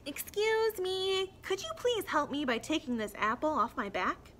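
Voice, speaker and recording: A woman's voice: high voice, big pitch swings, unhurried, a little hiss or hum, voice pushed up, exaggerated voice. Woman doing a polite little hedgehog. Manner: soft, courteous asking.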